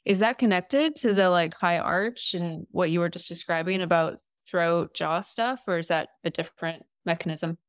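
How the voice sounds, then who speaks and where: A woman with a raspy voice that sits in her throat, chatting casually as an interviewer on a podcast. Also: lowish voice, normal speed, hardly any pauses, no echo, clean studio sound. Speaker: podcast host.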